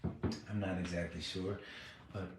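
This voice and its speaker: Raspy middle-aged man, echoey room. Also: deep voice, a little slow, far from the mic, background noise. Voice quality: raspy, worn voice. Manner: rambling and relaxed, chatting to viewers. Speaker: musician hosting a livestream. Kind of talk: casual chat between songs. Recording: very echoey room with loud guitar. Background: acoustic guitar playing.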